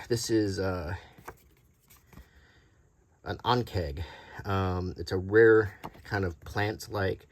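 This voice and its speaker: A middle-aged man doing a casual, unscripted show-and-tell as a video host, talking in a halting, rambling, conversational way. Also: sits low, a little hiss or hum. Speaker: YouTube host showing vintage tabletop game monster cards.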